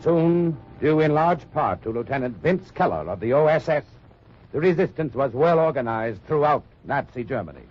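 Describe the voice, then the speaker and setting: A man announcing a 1950s radio drama in a dramatic, husky voice. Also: mid-range voice, animated, normal speed. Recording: muffled old radio recording.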